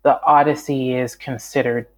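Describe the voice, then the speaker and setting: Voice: mid-range voice, steady tone, moderate pace. A speaker in their thirties from Malawi, reflective and rambling, with many fillers and restarts as an interview guest. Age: 30s. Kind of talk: reflective conversational storytelling.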